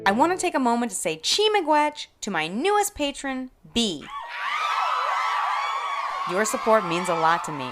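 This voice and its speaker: A woman hosting a podcast, delivering a scripted opinion monologue in an emphatic, expressive way with playful asides. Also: mid-range voice, slight background noise. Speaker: podcast host.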